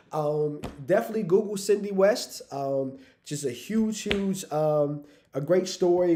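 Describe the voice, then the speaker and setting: Man, livestream host, raspy voice, stuffy nose, slightly high, dark mellow tone, upbeat. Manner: upbeat, hypes the chat, says "y'all". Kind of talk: casual livestream talk.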